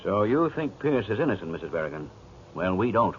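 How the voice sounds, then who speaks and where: Relentless inspector, animated 1940s radio interrogation, noisy dull broadcast. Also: male voice, lowish voice, fairly quick. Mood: probing. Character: probing, relentless male police inspector.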